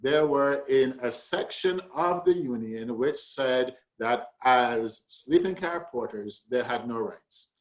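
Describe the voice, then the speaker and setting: A man with a mid-pitched voice speaks as a panelist at a public discussion. He tells history like a story, deliberately and with emphasis, setting it out in measured phrases. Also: lively intonation, low-quality recording.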